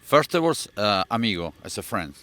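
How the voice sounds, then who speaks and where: A polo player, a Spanish speaker, teaching Spanish phrases in a blunt, teasing way. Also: male voice, lowish voice, big pitch swings, fairly quick, sharp tone.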